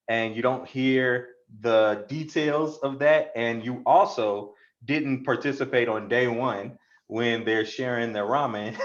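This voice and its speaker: American man on a dull video call. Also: medium pitch. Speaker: panelist. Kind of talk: casual career advice.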